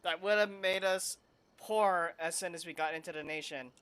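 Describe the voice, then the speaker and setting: Low-pitched woman streaming a game. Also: normal speed, fairly bright tone, slight background noise. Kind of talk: casual game playthrough, reading dialogue choices aloud.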